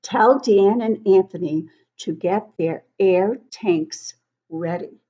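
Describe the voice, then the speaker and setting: A woman's voice: medium pitch, takes it slowly. Dull lo-fi sound, woman storyteller as commanding fire chief, firm, emphatic, businesslike, storybook. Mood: firm, urgent. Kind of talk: children's storybook read-aloud.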